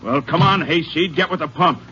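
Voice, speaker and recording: A man's voice: fairly high pitch, moderate pace, bright, forceful tone, slight background noise. Sneering gunman, dull old recording. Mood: threatening. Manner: curt, bullying. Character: menacing, sneering male gunman and robber. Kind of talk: old-time radio acting.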